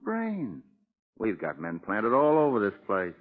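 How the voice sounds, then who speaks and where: Boastful gangster killer, lowish pitch, tough slangy delivery, vintage radio crime serial, thin narrow-band sound. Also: male voice, huge pitch swings, measured pace, full character voice. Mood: sly, bragging. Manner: cocky tough-guy slang. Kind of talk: 1940s radio crime drama acting. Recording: muffled tinny old radio recording.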